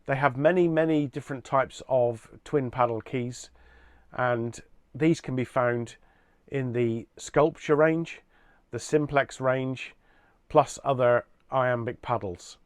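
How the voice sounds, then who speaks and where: A man with a medium-pitched voice presents a product range in a measured, explanatory style. He moves through points in an orderly fashion. The sound is dry and close, with very little room in it. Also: dry recording.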